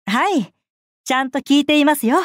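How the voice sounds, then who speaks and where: High-voiced, polite little boy. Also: lively intonation, normal speed, a bit bright, dry recording, clean studio sound. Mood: eager. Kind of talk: Japanese game character acting.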